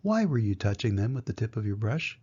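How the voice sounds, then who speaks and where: Man reading as a kindly old museum guard, husky. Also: lowish voice, very animated, a little fast, a little mellow, pitched below the performer's own voice. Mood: gently curious. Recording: dull lo-fi home recording.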